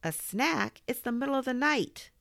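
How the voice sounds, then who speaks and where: A woman acts out an indignant young boy from a storybook. His questions come out pitched up and animated, skeptical and exasperated in manner. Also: slow pace, gravelly, no room sound, clean studio sound, full character voice.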